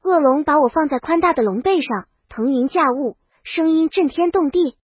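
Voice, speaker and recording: High-pitched woman narrating a story. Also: expressive, moderate pace, no echo. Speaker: audiobook narrator. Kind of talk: scripted first-person story narration. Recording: dull, lo-fi dry voice track.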